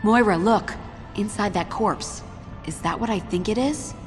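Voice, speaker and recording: A woman's voice: medium pitch, a little slow, close to an everyday voice. An actress playing a level-headed, determined young woman surviving in a horror video game. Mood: cautious, focused. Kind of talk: video game drama acting. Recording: loud game music underneath.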